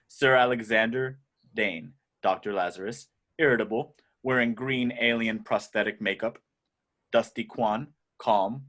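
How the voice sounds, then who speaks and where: Slightly low-voiced man narrating a script. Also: fairly bright tone. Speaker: reader of stage directions. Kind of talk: scripted narration in an amateur table read.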